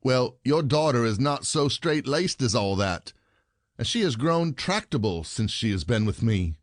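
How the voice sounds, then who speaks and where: An actor playing a bitter, sarcastic husband, a rich commoner full of complaint, in a theatrical comedy audio play. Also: male voice, medium pitch, sing-song, a little slow, no echo, studio quality.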